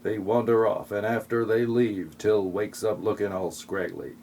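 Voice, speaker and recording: A man's voice: lowish voice, steady tone, moderate pace, a little mellow, slight background noise. A man narrating a comic parody audio play in a wry, mocking manner.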